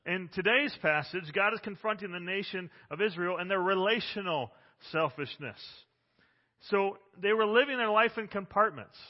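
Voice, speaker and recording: A man in his forties preaching a sermon as pastor, loud and emphatic, with frequent restarts, recorded on a microphone in a church. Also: high-pitched, normal speed. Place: church sanctuary. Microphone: handheld or stand microphone. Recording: dull sound with long hall reverb.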